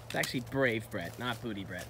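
A man's voice: lowish voice, animated, fairly quick. An American man in his thirties chatting and narrating casually as a playful, encouraging father on a family outing, recorded on a handheld phone. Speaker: father filming a family hike. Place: outdoors on a forest trail. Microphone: handheld phone camera. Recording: noisy outdoor phone recording.

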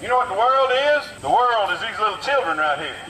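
A man's voice: high voice, expressive, fairly quick, pushed and bright, slight background noise, rough recording. A man preaching in the street with fiery call-and-response energy, outdoors in a neighborhood.

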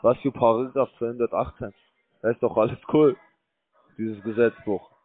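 A man calling from a call center, rambling in a bored, crude, halting way. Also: medium pitch, fairly even intonation, no room sound. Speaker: call center scam caller. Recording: dry tinny phone line.

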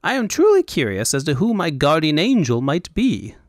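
A man's voice: fairly high pitch, wildly swooping pitch, moderate pace, no room sound, studio quality, voice pushed up. A man voicing a foppish, carefree artist in an audiobook, chatty and dramatic with a lilting delivery. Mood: chatty, excited.

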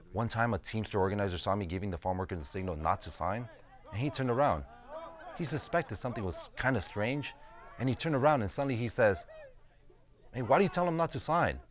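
Casting: young male actor as plain-spoken union organizer from the fields, low voice, conversational oral-history storytelling. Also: close to an everyday voice. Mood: animated, amused. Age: 20s. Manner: conversational storytelling with frequent "you know". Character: farmworker turned union organizer, streetwise and plain-spoken, recounting old struggles. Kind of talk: dramatized oral-history monologue.

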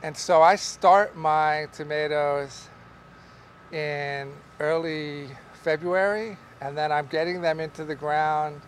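Middle-aged guy farmer, slightly high voice, talks loose and rambly, faint fan hum, greenhouse. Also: slow. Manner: relaxed, rambling, with many fillers and restarts. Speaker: market farmer teaching growing. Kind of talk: casual on-camera farming lesson. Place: large greenhouse among tall tomato plants. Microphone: camera at a distance, wide shot.

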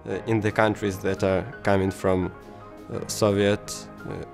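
A man in a documentary interview speaking in a halting way with many fillers. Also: lowish voice, level tone, gravelly, music in the background.

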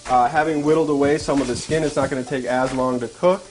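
A man's voice: mid-range voice, fast, slight background noise. Young man narrating a home cooking demo. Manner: relaxed step-by-step narration while cooking. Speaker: cooking show host. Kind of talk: casual cooking demonstration. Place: home kitchen, indoors. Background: frying and sizzling from the pan.

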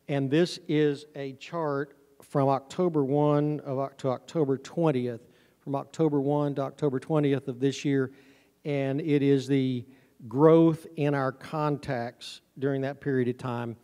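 A man's voice: mid-range voice, steady tone, unhurried, dark tone. A middle-aged man giving an informal, folksy, self-deprecating presentation to an audience. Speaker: conference speaker, honey farm business owner. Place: large auditorium stage, indoors. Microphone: handheld microphone through PA, recorded from audience camera. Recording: hall reverb from stage sound system.

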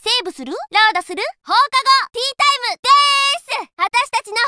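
A woman's voice: very high voice, animated, a little fast, sharp tone, a little hiss or hum, heavily acted. A woman voicing a boisterous, cheeky teenage schoolgirl drummer in an anime video game, with an exuberant, tomboyish, informal way of talking. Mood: upbeat, rousing.

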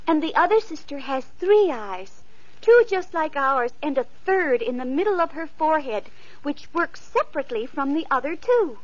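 A woman's voice: high voice, sing-song, moderate pace. A woman playing a poor, lonely young girl in an old radio play, pleading and sweet, on a dull lo-fi recording. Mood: earnest. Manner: pleading, tearful. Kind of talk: 1950s radio acting.

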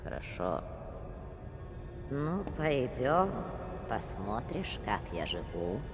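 Creepy old cartoon witch, super slow and swoopy, fake polite, sly villain. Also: extremely low pitch, sing-song, exaggerated voice. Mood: commanding, sly. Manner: slow, insinuating, mock-polite drawl. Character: cunning, sinister old witch, menacingly courteous villain. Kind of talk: theatrical animated film acting. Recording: long cavernous reverb, film music.

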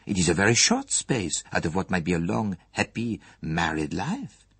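A man voicing a courteous, shrewd foreign detective in an audiobook, with formal, measured, precise phrasing and a gently probing manner. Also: low voice, sing-song, gravelly, breathy edge, dry recording, full character voice. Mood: gently reproving.

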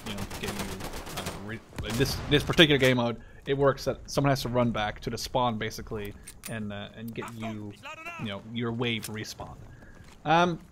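A young man giving casual gameplay commentary, rambling, sweary and full of opinions. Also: mid-range voice. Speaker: gaming video creator giving live commentary. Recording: loud machine-gun game noise behind voice. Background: gunfire and clicks from a war game.

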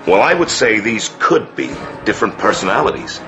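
Deep-voiced, blunt expert. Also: male voice, animated, a little fast, creaky, muffled recording, music in the background. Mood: matter-of-fact. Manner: blunt, casual, wry. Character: blunt, wry male language expert. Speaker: actor. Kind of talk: radio drama acting.

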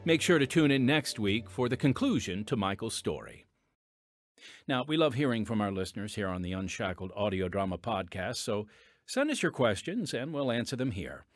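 A man's voice: fairly low pitch, very animated, moderate pace, no echo. A man hosting and narrating a scripted radio podcast, addressing listeners earnestly and directly. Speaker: podcast host. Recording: dry studio with music bed.

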